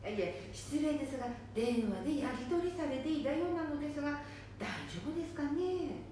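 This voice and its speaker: A woman plays a courteous, worried bystander in an amateur radio play. Her voice is high and hesitant. It comes from away from the mic in a very echoey room. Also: animated, brisk, warm tone. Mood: concerned. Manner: polite, hesitant. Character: concerned, polite woman helping a stranger. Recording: distant mic, very echoey room, faint noise.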